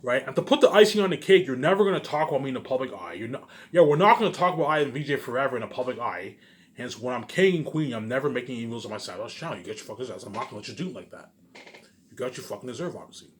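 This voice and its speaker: Fast-talking man in an emphatic, rambling monologue. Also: medium pitch, lively intonation. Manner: repetitive, circling back over phrases, often tacking on "obviously"; emphatic, swearing. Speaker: vlogger.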